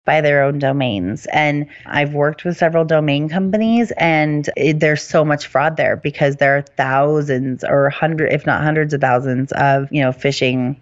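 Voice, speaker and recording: A woman's voice: low voice, normal speed, hardly any pauses, highs cut off. A woman hosting a podcast, explaining things in a casual, chatty and informal way. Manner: chatty, informal, often hedging with "I mean" and "you know". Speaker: podcast host.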